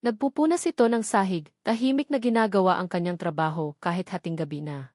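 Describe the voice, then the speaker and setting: A woman's voice: fairly low pitch, brisk, a little mellow, no echo. Filipino woman narrating a dramatic story, dry sound. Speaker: narrator of romance stories.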